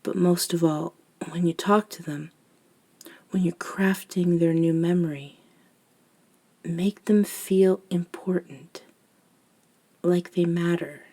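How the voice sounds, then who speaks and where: A woman voicing a gentle elder vampire in an ASMR roleplay, speaking softly and breathily, often near a whisper, soothing and reassuring. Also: lowish voice, fairly even intonation, slightly rough, exaggerated voice. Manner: slow, soothing, reassuring, with long pauses. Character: gentle elder female vampire mentoring a nervous young one. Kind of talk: soft-spoken ASMR roleplay monologue.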